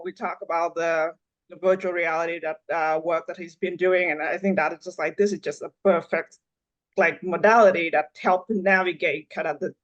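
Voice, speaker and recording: A woman's voice: fairly low pitch, lo-fi sound. Woman from Vietnam. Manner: halting, frequent fillers and restarts. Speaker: neonatology fellow. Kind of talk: panel discussion.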